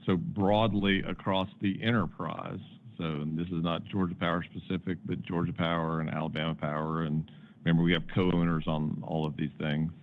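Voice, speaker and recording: A man speaking as a company executive on an earnings call, giving measured answers with emphatic repeated phrasing. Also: low voice. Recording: dull band-limited conference call audio.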